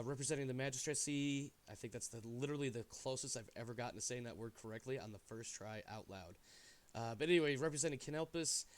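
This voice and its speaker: A man hosting a game stream in casual chat, sounding stuffy, animated and jokey, often trailing off into asides. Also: mid-range voice, clean tone.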